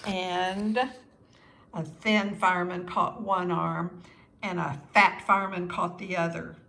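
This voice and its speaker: A woman reading a children's story aloud as scripted narration, expressive and with deliberate pauses for young listeners. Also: lowish voice. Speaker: storybook reader.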